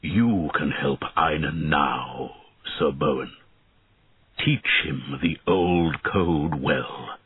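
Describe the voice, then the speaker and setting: A man voicing an ancient, wise male dragon who speaks slowly and gravely. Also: rumbling low, no room sound, voice pushed down, exaggerated voice. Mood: solemn. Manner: slow, grave, commanding. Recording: tinny lo-fi audio.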